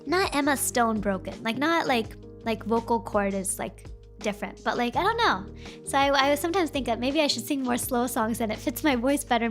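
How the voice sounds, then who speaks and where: Vtuber lady chatting w/ her viewers, kinda broken voice, higher pitch, rambly, soft music behind. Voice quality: slightly broken voice. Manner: rambling, chatty, answers chat. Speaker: VTuber streamer. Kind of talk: casual chat stream.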